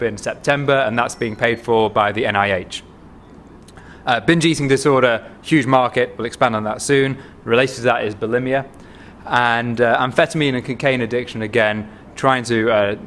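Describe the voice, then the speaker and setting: A man with a Manchester accent, a company chief giving a chatty investor pitch full of ums and restarts. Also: a bit bright, a little hiss or hum. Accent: Manchester English. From England. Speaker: company CEO presenting to investors. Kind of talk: conference pitch presentation. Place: conference room.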